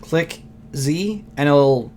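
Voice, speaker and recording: A man who is losing his voice talks loosely through a software tutorial, rambling and thinking aloud with fillers and throat clearing. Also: on the high side, drawn-out words, slight background noise. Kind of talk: casual screen-recorded tutorial.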